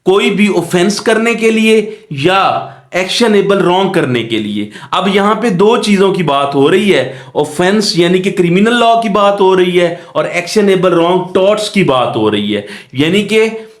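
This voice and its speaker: High-voiced Pakistani man lecturing, echoey room. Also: lively intonation, a little slow, hardly any pauses. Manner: didactic, asks rhetorical questions and answers them; code-switches into English legal terms. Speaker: law teacher. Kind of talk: lecture explaining a statute.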